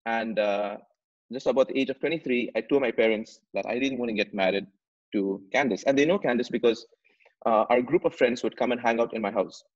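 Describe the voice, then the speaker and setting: A man's voice: medium pitch, fairly quick. A man in his thirties from Dubai, a podcast guest telling his story earnestly over a video call, often repeating words as he searches for phrasing. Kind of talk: conversational storytelling. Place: home room with plants and shelves. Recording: slightly muffled video call audio.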